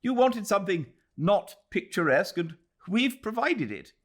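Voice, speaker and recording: A man's voice: high-pitched, big pitch swings, moderate pace, voice pushed up. A male narrator voicing a pompous, condescending young colonial official with a British accent, declaiming his opinions in a storybook reading. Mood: breezy.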